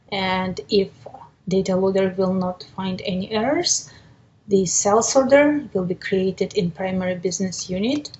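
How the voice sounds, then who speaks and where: Woman presenting a methodical software walkthrough. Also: medium pitch, dull audio, a little hiss or hum. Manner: methodical, explanatory. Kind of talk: step-by-step software demonstration.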